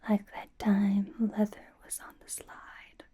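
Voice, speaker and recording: Casting: woman as bubbly young female K-pop idol, slow breathy whisper, gushing personal stylist for ASMR roleplay. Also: medium pitch, completely flat delivery, dark tone, lightly acted. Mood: encouraging, attentive. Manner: gushing, reassuring, chatty with trailing repeats.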